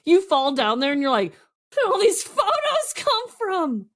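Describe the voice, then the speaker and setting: A woman's voice: very high-pitched, a little slow. A woman co-hosting a comedy podcast, chatting casually and reacting with jokes. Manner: animated, jokey reactions. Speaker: podcast co-host.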